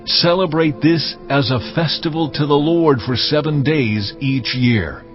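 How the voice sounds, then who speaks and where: A man's voice: on the high side, measured pace, natural voice. A man voicing a solemn, commanding God, music behind. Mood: solemn, instructing. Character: God, solemn male deity giving laws to Israel. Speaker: voice actor in dramatized audio Bible. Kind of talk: dramatized scripture reading. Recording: dull lo-fi audio, music underneath.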